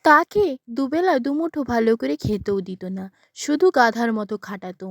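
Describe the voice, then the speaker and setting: A woman's voice: a little high, animated, normal speed, vocal fry, heavy background noise. A woman narrating a moral cartoon story.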